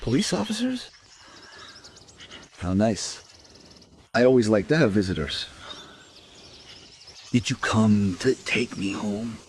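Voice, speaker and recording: A man's voice: lowish voice, sing-song, slow pace, long pauses, a little hiss or hum. A man playing an amiable, confused, wistful elderly care-home resident in a radio play. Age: older.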